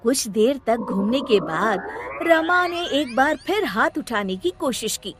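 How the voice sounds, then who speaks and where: A woman storyteller giving an expressive, dramatic scripted narration of a children's story. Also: high voice.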